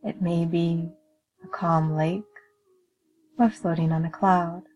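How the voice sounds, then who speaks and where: A woman leading a guided meditation in a calm, soothing, measured manner with gentle pauses. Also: on the low side.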